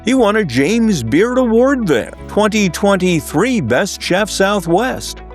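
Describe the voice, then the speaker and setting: An older man hosting an interview show, asking questions in a lively conversational way. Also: high voice, slow, soft background music. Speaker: podcast host.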